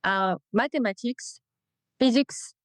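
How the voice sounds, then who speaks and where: A woman from France with a French accent, a researcher answering interview questions in a plain, slightly halting way. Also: on the high side, no echo. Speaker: guest researcher.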